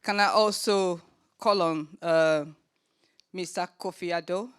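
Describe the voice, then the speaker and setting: A woman's voice: fairly low pitch, smooth. A Nigerian woman opens a forum panel from the chair. She speaks earnestly and stops often on filler sounds while she gathers her thoughts. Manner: earnest, with many filler pauses. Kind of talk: opening remarks at a forum panel.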